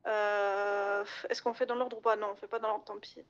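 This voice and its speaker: A woman telling stories casually in an online roleplay, chatty, slangy and halting, over game voice chat. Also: medium pitch, level tone, dull audio. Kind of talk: casual in-character storytelling.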